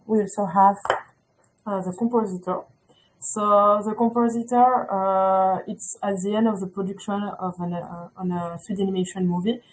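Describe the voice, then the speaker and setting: Halting woman presenting a school webinar. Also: medium pitch, fairly even intonation, poor audio. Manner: halting speech with many "uh" and "okay?" checks. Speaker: school representative.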